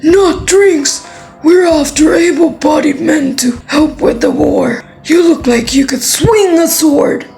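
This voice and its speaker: A woman playing a bullying, threatening soldier in a fan dub drama, with a gravelly voice. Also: sits high, takes it slowly, a little mellow, full character voice, rough recording. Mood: barking orders. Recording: music behind the voice.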